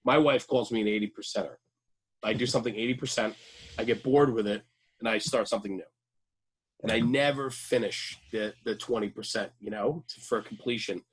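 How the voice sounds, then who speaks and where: A man's voice: lowish voice, fairly quick, slightly rough, low-quality recording. A man chatting casually as a radio show host, informal and enthusiastic, with plenty of slang. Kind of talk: casual chat.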